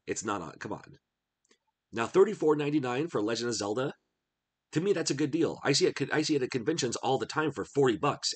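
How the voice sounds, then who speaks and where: A middle-aged man with a congested cold voice, chatting casually as he browses a retro game store online. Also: medium pitch. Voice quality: husky. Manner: chatty, musing aloud while browsing. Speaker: retro game channel host. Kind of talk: casual screen-share commentary.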